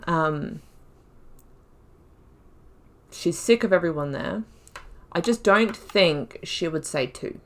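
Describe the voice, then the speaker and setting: A woman's voice: lowish voice, animated, takes it slowly, pauses often. A woman casually commenting on a game playthrough, thinking aloud in a chatty way and laughing often. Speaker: gaming YouTuber.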